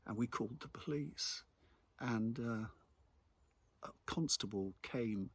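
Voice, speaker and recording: A middle-aged English man giving a reflective spoken monologue in a measured, conversational, musing way. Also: mid-range voice, fairly bright tone, husky. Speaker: local commentator and host.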